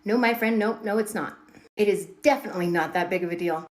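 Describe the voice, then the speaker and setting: A woman's voice: mid-range voice, fast talker. A woman giving dramatic storytelling commentary, emphatic and repeating words for effect. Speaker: online video commentator.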